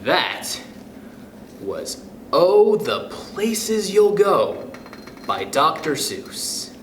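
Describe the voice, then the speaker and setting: Man, youth club storyteller, unhurried and sing-song, playful picture-book reading, echoey room. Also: fairly high pitch, a little hiss or hum. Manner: expressive, playful, chuckles between passages. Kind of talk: children's picture book read-aloud.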